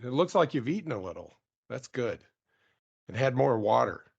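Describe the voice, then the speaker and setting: An actor playing a kind-hearted, concerned sailor in a radio drama, speaking in an earnest, casual modern way. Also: male voice, mid-range voice, sing-song, moderate pace, vocal fry, slightly rough, muffled recording, full character voice. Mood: reassuring.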